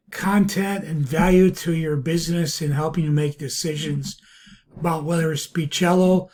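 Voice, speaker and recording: A man narrating a software review walkthrough in halting, piecemeal phrases. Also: a little high. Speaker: product reviewer. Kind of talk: screen-recorded software walkthrough. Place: voice-over recorded over a screen capture.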